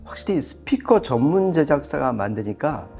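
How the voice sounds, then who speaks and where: A man with a soft, dark tone talks through a product review in a conversational way. He explains his points with casual emphasis, over music. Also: fairly high pitch, very animated, normal speed, creaky. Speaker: audio product reviewer on a shop's show.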